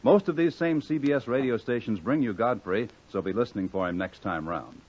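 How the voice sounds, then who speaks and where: A man's voice: mid-range voice, fairly quick, a little mellow. A man working as a 1950s radio announcer, reading scripted introductions and network promotion. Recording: dull 1950s radio recording.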